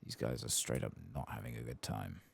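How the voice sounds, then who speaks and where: A man doing soft-spoken, casual playthrough commentary as an ASMR gaming streamer, reading aloud and muttering asides. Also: rumbling low, steady tone, fairly quick, creaky, breathy edge.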